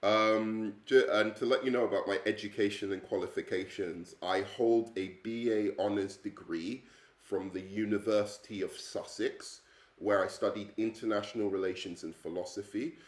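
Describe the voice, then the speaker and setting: Deep-voiced English man, hesitant. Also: fairly even intonation, normal speed, roomy sound. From England. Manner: hesitant, many ums and uhs; earnest, friendly. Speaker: English teacher. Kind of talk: self-introduction video.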